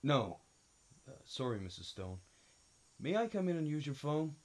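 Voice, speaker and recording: A man playing a world-weary, wry private detective, deadpan, narrating a noir radio drama. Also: fairly high pitch, normal speed. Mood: curt, questioning. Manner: deadpan, hardboiled. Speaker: narrator and lead actor.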